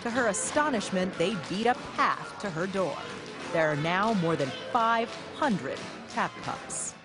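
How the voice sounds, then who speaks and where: A woman correspondent narrating a scripted television news feature in a lively, expressive way. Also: medium pitch, unhurried. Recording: music bed underneath.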